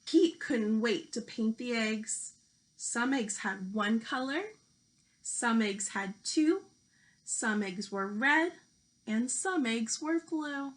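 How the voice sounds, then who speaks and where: A woman teacher reading a children's picture book aloud, speaking deliberately to young listeners. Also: fairly high pitch. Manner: slow, deliberate delivery addressed to young children. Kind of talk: children's storybook read-aloud.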